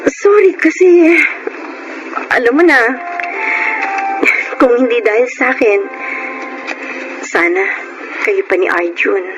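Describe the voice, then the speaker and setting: Gentle young Filipino mother, music underneath. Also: fairly high pitch, very animated, takes it slowly, pauses often, muffled recording. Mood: apologetic. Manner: earnest, heartfelt. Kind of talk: Filipino radio drama acting.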